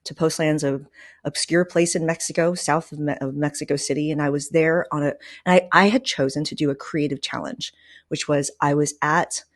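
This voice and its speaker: Female podcast host, low register, dry close sound, casual and emphatic delivery. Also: steady tone, fairly quick, no echo. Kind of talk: casual conversational talk.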